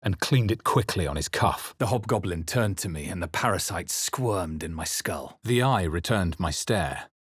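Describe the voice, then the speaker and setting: A deep-voiced, grave male adventurer from a fantasy game. His husky tone carries measured, intense dramatic lines with a brooding seriousness. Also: expressive, dry recording, studio quality. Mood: grave, ominous.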